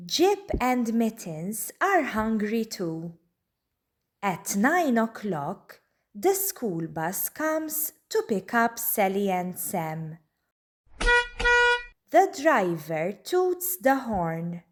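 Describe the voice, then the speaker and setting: A woman reading a children's storybook aloud in a deliberate, expressive way. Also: medium pitch. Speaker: children's book reader. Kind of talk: read-aloud storybook narration.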